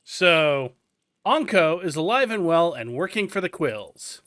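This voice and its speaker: A male host giving a casual walkthrough commentary on a video game, explaining each step in a relaxed, drawn-out way. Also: on the high side, no echo, studio quality.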